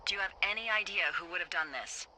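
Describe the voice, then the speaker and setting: An actress playing a wry, teasing fire lookout supervisor in a video game, talking casually and dryly over a walkie-talkie. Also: female voice, medium pitch, fast, sharp tone, slight background noise, low-quality recording. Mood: concerned. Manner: dry, playful, conversational. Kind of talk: naturalistic video game voice acting, casual banter.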